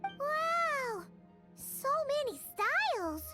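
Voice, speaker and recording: Tiny excitable fairy sidekick, super high squeaky kid voice, anime game style, really bouncy. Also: female voice, drawn-out words, soft background music, full character voice. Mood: excited. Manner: exclamatory, refers to herself in third person. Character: small excitable childlike female fairy companion.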